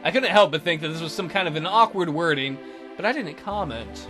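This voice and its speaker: A woman's voice: fairly low pitch, lively intonation, fairly quick, a bit bright. A woman let's play host reading visual novel narration aloud with irreverent, joking asides. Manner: irreverent, jokey. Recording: music behind the voice.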